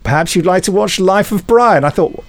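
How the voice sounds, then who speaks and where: A man's voice: high-pitched, normal speed, no echo, faint music behind. A middle-aged man hosting a podcast, chatting casually and reading listener emails in a jokey, rambling, self-deprecating way. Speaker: podcast host.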